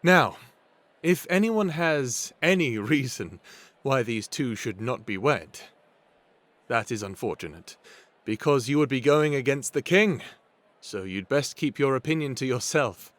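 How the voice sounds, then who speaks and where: A man playing a stately wedding officiant in an audio drama, speaking in a formal, measured, proclaiming manner. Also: mid-range voice, lively intonation, no room sound, natural voice. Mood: ceremonial, proud. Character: stately male wedding officiant serving the king. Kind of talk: ceremonial speech in audio drama.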